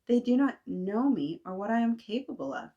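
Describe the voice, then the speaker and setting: A woman's voice: fairly high pitch, animated, moderate pace, warm tone, highs cut off, voice pushed up. A woman voicing a young immigrant schoolgirl, earnest and determined, while reading a storybook aloud. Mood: upset.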